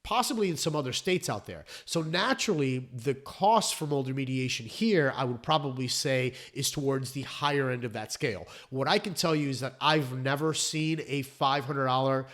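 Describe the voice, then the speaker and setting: A hoarse man who founded a restoration company, explaining his trade in a chatty vlog with fillers and restarts. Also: mid-range voice, moderate pace, hardly any pauses, a bit bright. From South Florida.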